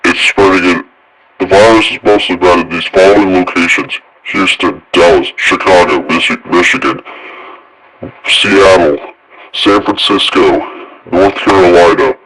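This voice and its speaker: A man playing a grave emergency alert announcer who delivers warnings in a halting, repetitive way. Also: low-pitched, steady tone, fairly bright tone, husky, exaggerated voice, rough recording. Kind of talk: improvised emergency broadcast announcement.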